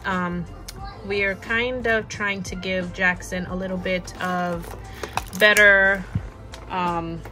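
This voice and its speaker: A young woman talks slowly and easily through her groceries in a home kitchen, chatty and relaxed, doubling words like "really, really" for emphasis. Her pitch sits in a middle range. Music plays clearly under her voice. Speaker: vlogger showing a grocery haul. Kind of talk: casual show-and-tell narration. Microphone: camera pointed down at items on a kitchen counter. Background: background music.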